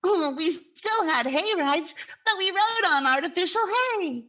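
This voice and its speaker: A woman's voice: very high-pitched, animated, measured pace, sharp tone, exaggerated voice. A woman playing a cheerful, naive, chirpy sidekick in the style of 1940s radio comedy.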